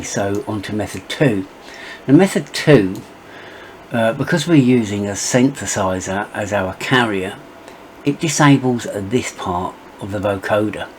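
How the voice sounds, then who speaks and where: A middle-aged man narrating a step-by-step software tutorial as its presenter. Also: lowish voice, slight background noise, poor audio.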